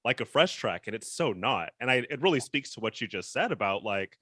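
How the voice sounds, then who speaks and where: Bright, dry-sounding male interviewer. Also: medium pitch, very animated, brisk, dry recording. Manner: easygoing, upbeat, many ums. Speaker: interviewer, host. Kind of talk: casual interview chat.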